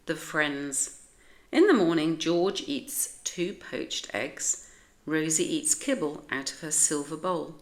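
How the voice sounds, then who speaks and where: A woman with a British accent narrating a children's storybook as an expressive read-aloud. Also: deep voice, takes it slowly.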